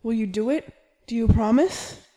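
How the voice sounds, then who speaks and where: A man's falsetto as aging woman Clarice. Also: normal speed, mellow. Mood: strained. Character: aging woman Clarice, weary, tender, conflicted.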